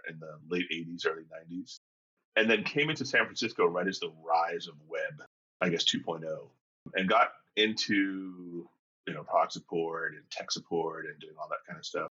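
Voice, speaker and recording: Low, husky-voiced middle-aged coach. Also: male voice, sharp tone, dull audio. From England and Jamaica. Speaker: executive and leadership coach. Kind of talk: reflective conversational storytelling.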